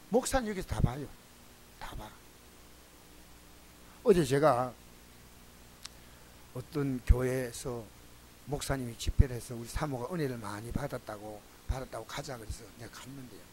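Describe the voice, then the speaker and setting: A man's voice: medium pitch, moderate pace, long pauses, a little hiss or hum, rough recording. A man preaching a sermon as pastor, speaking in a Gyeongsang accent and telling folksy stories to his congregation. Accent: Gyeongsang Korean. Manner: folksy, animated storytelling to the congregation.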